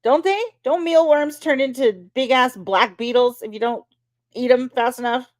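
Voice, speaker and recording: A woman's voice: sits high, moderate pace, no room sound, clean studio sound. A woman co-hosting a livestream, talking in a sarcastic, profane, squeamish way. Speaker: livestream co-host. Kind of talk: casual livestream banter.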